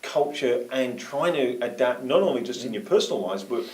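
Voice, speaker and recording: A man hosting an interview, chatty and quick to laugh. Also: mid-range voice, animated, darkish tone. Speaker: interview host. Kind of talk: conversational interview. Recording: roomy, from a distance.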